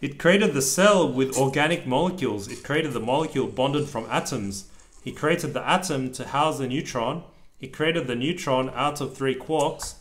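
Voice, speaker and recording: A man reading a children's storybook aloud as a parent, with casual asides and a deliberate, sing-song delivery. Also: mid-range voice.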